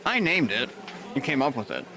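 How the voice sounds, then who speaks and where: A man's voice: mid-range voice, huge pitch swings, a little fast, noisy background. A man playing a laid-back, wry male nurse.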